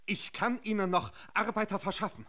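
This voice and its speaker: An actor playing an earnest, persuasive mission priest in a radio drama, speaking with insistent emphasis. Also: male voice, high voice, fairly quick, pushed and bright. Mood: urgent, persuasive.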